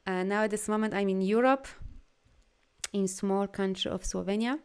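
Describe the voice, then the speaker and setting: A woman's voice: moderate pace, darkish tone, clean tone. Polish woman, live-stream healer, earnest and affirming, voice lifts when emphatic, accented English. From Poland. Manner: heartfelt, affirming, rises in pitch and volume when emphatic. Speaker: energy healer and coach hosting a live video. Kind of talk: live stream talk answering viewer comments.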